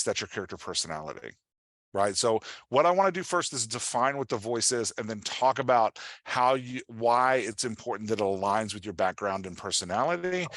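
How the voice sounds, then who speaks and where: A man giving an informal workshop as a writing coach, talking in an animated, conversational way and often checking in with his listeners. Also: medium pitch, no echo. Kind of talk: informal online workshop presentation.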